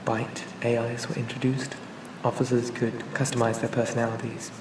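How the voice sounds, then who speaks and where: A calm and pleasant male AI companion acted by a man for an ASMR roleplay. He speaks gently and reassuringly in a narrow, even pitch. The recording sounds distant and echoey, with clear ambient noise behind him. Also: moderate pace, lightly acted. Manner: gentle, hesitant, reassuring, conversational. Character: charming, pleasant male AI personal assistant for a police officer.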